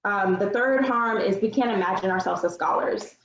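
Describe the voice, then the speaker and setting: A young adult woman giving an earnest, measured seminar presentation with frequent filler words. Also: medium pitch, a bit bright, slight background noise. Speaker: research presenter. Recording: roomy, dull lo-fi sound.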